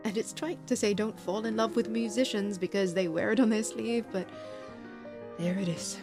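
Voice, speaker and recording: A heartbroken young woman played by an actress confides in an intimate, deliberate way, with the brooding weight of an audio drama. Her medium-pitched voice has music playing under it. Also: fairly even intonation, lightly acted. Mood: wistful, confessional. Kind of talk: audio drama monologue and dialogue.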